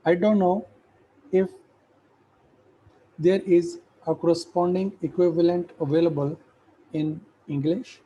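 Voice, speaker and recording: A middle-aged man, an Urdu speaker, giving an academic lecture in a slow, measured way with hesitant fillers. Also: sits high, steady tone, dark tone, dull audio, slight background noise.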